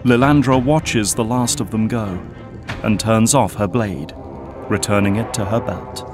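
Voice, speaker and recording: A steady male narrator who reads slowly and deliberately, with a mid-pitched voice. Strong music runs beneath him. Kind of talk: scripted narration.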